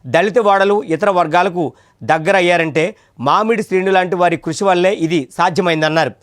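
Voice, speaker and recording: A man's voice: high voice, no room sound, studio quality. A man narrating a scripted news report as a voice-over.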